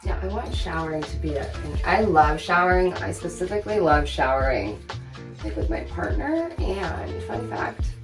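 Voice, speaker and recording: A young woman vlogging a home makeover, chatting casually and talking to herself excitedly as she works. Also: low-pitched, normal speed. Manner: chatty and excitable, talks to herself while working. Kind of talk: casual vlog narration and self-talk. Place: room under renovation, indoors. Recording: echoey room, distant mic, music underneath.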